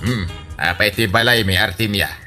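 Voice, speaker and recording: A man's voice: lowish voice, brisk, bright, forceful tone, faint music behind. A man playing a scolding, grumbling father in an Ilocano comedy drama, with an Ilocano accent. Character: scolding father, unimpressed by his putting-on-airs daughter.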